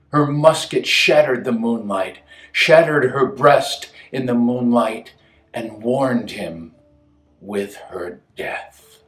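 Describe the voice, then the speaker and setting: Slow male poetry reader, echoey room. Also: medium pitch. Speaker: poem reader. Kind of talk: dramatic poetry reading.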